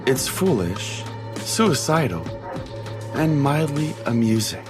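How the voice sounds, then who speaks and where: A man's voice: medium pitch, sing-song, slow pace. A man playing an eccentric pastor who hosts a late-night call-in radio show in a theatrical, mock-solemn way. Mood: rambling, absurd. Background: music.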